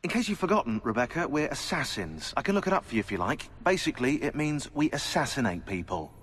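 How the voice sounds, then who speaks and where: An actor playing a sardonic, eccentric British conspiracy researcher turned assassin in a video game, chatty, opinionated and sarcastic. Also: male voice, medium pitch, big pitch swings, quick pace, fairly bright tone, slightly rough. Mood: sarcastic, argumentative. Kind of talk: game cutscene dialogue.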